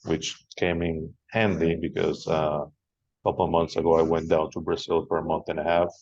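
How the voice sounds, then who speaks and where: Very deep-voiced Venezuelan man, husky. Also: flat delivery, moderate pace, a little mellow, dry recording, dull audio. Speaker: panelist, beer writer. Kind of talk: personal account on a panel.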